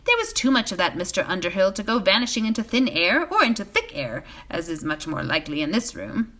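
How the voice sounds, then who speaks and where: A woman's voice: medium pitch, big pitch swings, fairly quick, a bit bright. A woman voicing a fussy, puzzled male innkeeper in a storybook, chatty and folksy in manner. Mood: puzzled, flustered.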